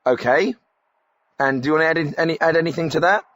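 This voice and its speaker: An actor playing a defensive, tactless English radio phone-in host, blunt but often hesitant and flustered. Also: male voice, fairly high pitch, quick pace, fairly bright tone, lo-fi sound, lightly acted. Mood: businesslike. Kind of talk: spoof live radio phone-in.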